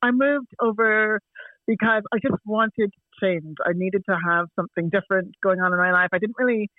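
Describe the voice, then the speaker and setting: A woman's voice: medium pitch, normal speed, no room sound. A Canadian woman in her forties, a chatty guest who tells stories and laughs often. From Canada, Toronto. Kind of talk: casual chat over a call. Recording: dry tinny phone line.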